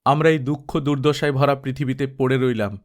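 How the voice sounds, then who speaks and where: A man voicing a pompous, bloodthirsty old high priest who pleads in loud ceremonial tones. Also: steady tone, a little fast, close to an everyday voice. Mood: pleading.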